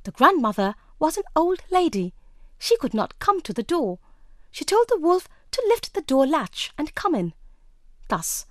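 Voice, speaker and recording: High-voiced, animated woman storyteller. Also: measured pace, dry recording, studio quality. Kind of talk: scripted children's fairy-tale narration. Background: music.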